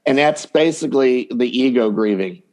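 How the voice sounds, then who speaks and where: A man's voice: medium pitch, expressive, moderate pace, a little mellow. A man speaking as a dating coach guest in a conversational podcast interview, explaining points by voicing hypothetical quotes.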